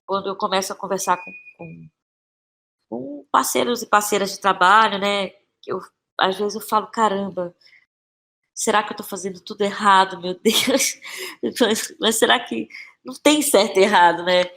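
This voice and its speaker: A woman with a Brazilian accent and a mid-pitched voice talks in a casual, reflective interview style. She feels her way through sentences, repeating phrases and often checking in with short tag questions. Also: vocal fry, a bit bright. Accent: Brazilian Portuguese. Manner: halting, searching for words, repeats phrases, frequent tag questions. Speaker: playwright and actress, podcast guest.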